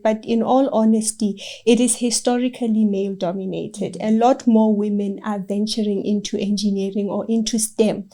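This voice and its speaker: A woman's voice: medium pitch, warm tone. A young woman, a project engineer, answering interview questions in an earnest and encouraging way. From South Africa. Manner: earnest, encouraging, gives direct advice.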